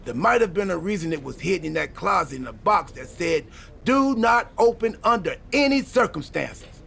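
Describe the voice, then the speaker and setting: A sarcastic wrestler buddy in a video game. His high voice is creaky and swings playfully as he jokes, with faint noise behind. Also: big pitch swings, normal speed, sharp tone, full character voice. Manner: sarcastic, joking. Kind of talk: video game cutscene dialogue.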